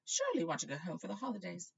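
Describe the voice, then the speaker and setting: A woman's voice: lowish voice, huge pitch swings, very fast, gravelly, husky, lo-fi sound, pitched above the performer's own voice, full character voice. A woman voicing a frail, kindly old male wizard headmaster in a feeble, wavering voice for a storybook reading. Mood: kindly concern.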